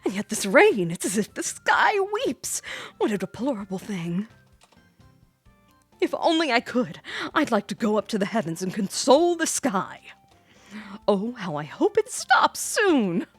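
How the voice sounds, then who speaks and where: A woman voicing an exuberant young gentleman traveler from a video game, theatrical, florid and over-polite. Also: a little high, big pitch swings, a little slow, slightly rough, no room sound, faint music behind, heavily acted. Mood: melodramatic lament.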